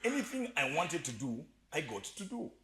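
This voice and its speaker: A man with a slight Ugandan accent telling stories as a podcast guest, animated and joking, often laughing. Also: on the high side, moderate pace, crowd noise. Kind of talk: storytelling interview chat.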